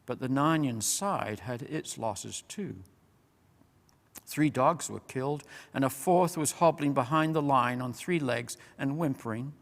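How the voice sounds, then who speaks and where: A man reading a children's fantasy story aloud as a measured, expressive, dramatic narrator. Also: medium pitch. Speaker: storyteller, reader. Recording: long reverberant hall.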